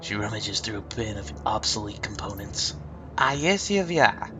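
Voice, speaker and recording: A man with a stuffed-up nose voicing a brisk woman shop proprietor, pitching his voice up, with game music behind. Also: animated, a bit bright, slight background noise. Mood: brisk, amused.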